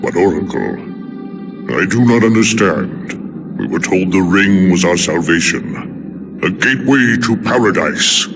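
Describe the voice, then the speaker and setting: Deep, solemn alien commander. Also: male voice, lively intonation, unhurried. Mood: troubled. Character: grave, devout male alien military commander. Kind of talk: video game cutscene acting. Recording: loud music behind the voice.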